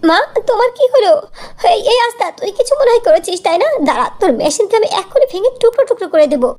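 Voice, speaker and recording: A woman voicing a hot-headed young stepsister in a cartoon fairy tale, shrill and excitable. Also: quick pace. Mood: alarmed, angry.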